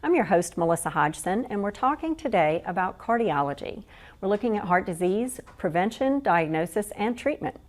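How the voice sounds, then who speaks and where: A woman hosting a health talk show, giving measured introductions and gently prompting her guest with questions. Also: mid-range voice, lively intonation.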